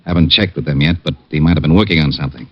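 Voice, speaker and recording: An actor playing a businesslike, weary homicide cop in a 1950s radio drama, terse and matter-of-fact. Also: male voice, very deep voice, very fast. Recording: dull, slightly noisy old radio recording.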